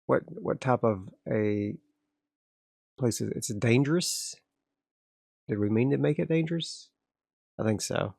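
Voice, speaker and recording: A man's voice: mid-range voice, a little slow, gravelly, pauses often, no echo, studio quality. A man playing a solo tabletop game, casually narrating and thinking aloud as he sets up his next area. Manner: relaxed, rambling, frequent restarts.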